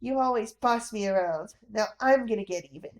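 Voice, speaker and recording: A woman's voice: on the high side, expressive, a little fast. A woman voicing a mischievous little boy, a teasing younger brother with a childish, taunting manner.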